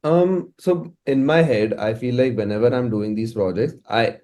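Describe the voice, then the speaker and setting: A man with an Indian English accent speaks in a casual interview style. His voice sits at a medium pitch, and he talks conversationally, repeating words and telling things in an animated way. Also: moderate pace. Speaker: music producer and musician being interviewed.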